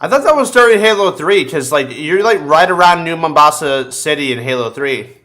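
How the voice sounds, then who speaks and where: A man's voice: a little high, lively intonation, a little fast, sharp tone. A man hosting a podcast, chatting casually about video games in slangy, sweary bro talk. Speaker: podcast host.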